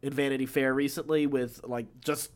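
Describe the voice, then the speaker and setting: A man's voice: medium pitch, little pitch movement, moderate pace. A man co-hosting a podcast, chatting casually about film news. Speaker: podcast co-host.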